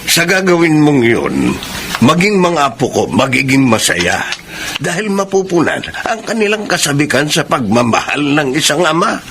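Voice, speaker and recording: A man's voice: fairly high pitch, sing-song, normal speed, background noise. A man with a Filipino accent playing an elderly, pleading father and grandfather in a radio drama. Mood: pleading, earnest. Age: older.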